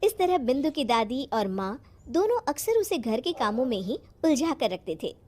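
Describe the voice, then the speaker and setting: Woman storyteller, high voice, reading a scripted kids moral tale. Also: very animated, moderate pace, a little mellow. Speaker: story narrator.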